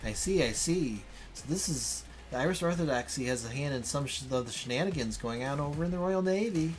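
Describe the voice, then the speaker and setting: A man's voice: on the high side, lively intonation, a little fast, natural voice. Sardonic, swaggering game character played by a man, exclamatory delivery, music underneath. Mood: cocky, playful.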